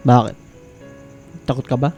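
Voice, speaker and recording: A man plays a quiet young Filipino student in a radio drama, the supportive friend. His voice is mellow and his delivery halting. Faint music sits behind him. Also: medium pitch, steady tone, gravelly, natural voice. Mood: guarded concern. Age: young adult. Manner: hesitant, halting. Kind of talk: radio drama acting with English code-switching.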